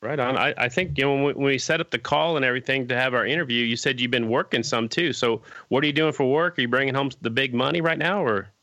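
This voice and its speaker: Man hosting a podcast, easygoing and friendly, bright, forward voice, dry close sound. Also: mid-range voice, fast talker, hardly any pauses, dry recording. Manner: friendly, easygoing, says "right on" a lot. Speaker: podcast host. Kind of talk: casual interview.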